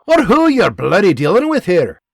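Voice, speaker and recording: A man voicing a gruff Scottish army sergeant who barks orders and scolds his men in a Scottish accent. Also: high-pitched, huge pitch swings, normal speed, darkish tone, dry recording, studio quality, voice pushed up, exaggerated voice. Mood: barking. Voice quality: barking, scolding.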